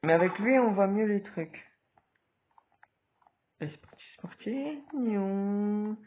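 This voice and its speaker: A man with a cold giving hesitant, casual commentary over a game, with sudden excited outbursts. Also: high-pitched, takes it slowly, a little hiss or hum. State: stuffy with a cold. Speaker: gaming video creator. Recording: tinny phone-like recording.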